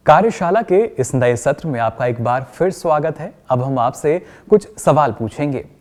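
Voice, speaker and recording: A man's voice: fairly high pitch, lively intonation, moderate pace, a little mellow, close to an everyday voice. A man playing a patient, encouraging trainer who lectures and puts questions to a group in a scripted training film. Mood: instructive. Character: encouraging male trainer of company directors, patient and instructive. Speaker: trainer leading a workshop.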